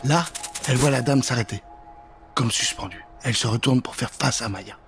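A man in his thirties telling a children's tale in an expressive, theatrical style, close to the microphone. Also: medium pitch, normal speed, soft background music. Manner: expressive, dramatic. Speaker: storyteller. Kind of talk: theatrical scripted storytelling for children. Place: indoor recording setup. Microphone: close microphone with pop filter.

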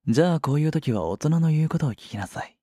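A man's voice: a little high, expressive, fast talker, warm tone, slightly rough, no echo, clean studio sound. An actor playing a smug, condescending, possessive male schoolteacher in a romantic audio drama. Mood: smug, coaxing. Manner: condescending, teasing.

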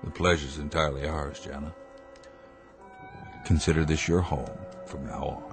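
An actor in a radio drama playing a grave doctor and father with a measured, formal way of speaking. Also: rumbling low, flat delivery, over music. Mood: courteous, subdued.

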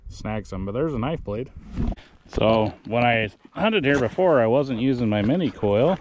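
A man hosting a metal detecting video, chatting casually and getting excited over each find in a grassy field. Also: mid-range voice. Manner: upbeat, easily excited, thinking aloud. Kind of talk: casual narration while digging. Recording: outdoor recording with clear background noise.

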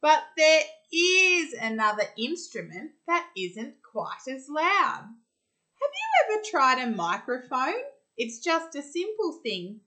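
A woman's voice: high voice, sharp tone, voice pushed up, heavily acted. An Australian woman voicing a friendly, encouraging female sheep in a polite, sing-song storybook manner.

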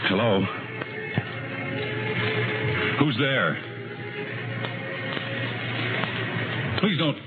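An actor playing a desperate, bitter murderer who narrates his crime in brooding, deliberate 1950s radio thriller style. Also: mid-range voice, expressive, close to an everyday voice. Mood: anxious. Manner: brooding, dramatic, deliberate phrasing. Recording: muffled old radio recording with music behind.

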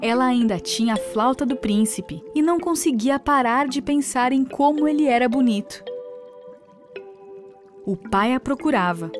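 A woman narrating a children's fairy tale in a clear, scripted storytelling manner. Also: a little high. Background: background music.

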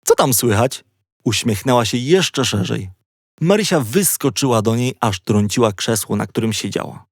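A man narrating a scripted children's story with expressive storytelling delivery. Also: mid-range voice, normal speed, no echo, clean studio sound. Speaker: audiobook narrator.

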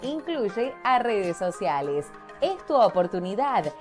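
A woman's voice: mid-range voice, big pitch swings, slow, a little mellow. A woman with an Argentine accent reading a scripted advert as an announcer. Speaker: promo announcer. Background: music.

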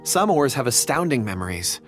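A man's voice: medium pitch, big pitch swings, moderate pace, faint music behind, natural voice. A man playing a shy, earnest young ore diviner in a video game, with a hesitant manner. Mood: earnest explaining.

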